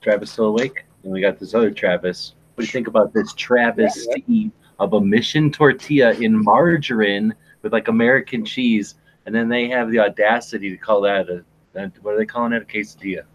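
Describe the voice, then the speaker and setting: A man's voice: lowish voice, animated, fairly quick, few pauses. A man chatting casually in a rambling, emphatic, slangy way. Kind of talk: casual chat, opinionated food banter. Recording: dull lo-fi mic with hiss.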